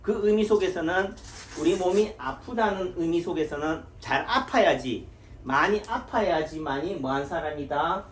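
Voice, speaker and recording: A man's voice: a little high, normal speed, slight background noise. A middle-aged man from the Gyeongsang region giving an informal health lecture as a Korean medicine doctor, asking rhetorical questions and answering them himself. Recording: echoey room.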